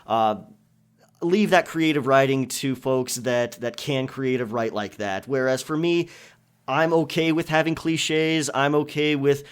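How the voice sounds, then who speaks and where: A man's voice: mid-range voice, measured pace, smooth. A man talking casually and reflectively as a channel creator, answering listener questions and explaining his thinking. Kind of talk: casual Q&A monologue.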